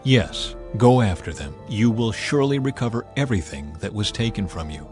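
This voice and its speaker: A man voicing a calm, assured divine voice. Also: lowish voice, moderate pace, faint music behind.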